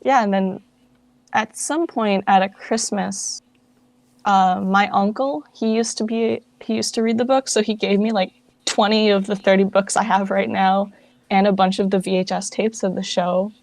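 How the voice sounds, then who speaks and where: A young woman gives an informal, chatty interview as a guest. Her voice sits at a middling pitch, and she talks in a self-deprecating, conversational way. The sound is dry, with very little room on it. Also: steady tone, normal speed, vocal fry, darkish tone, no room sound. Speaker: interview guest, project founder and voice actress. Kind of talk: casual interview chat.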